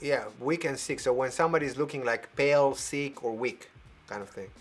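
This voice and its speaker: A man hosting a live variety show over a video call, playful and animated, laughing as he talks. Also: medium pitch, fairly quick. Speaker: host.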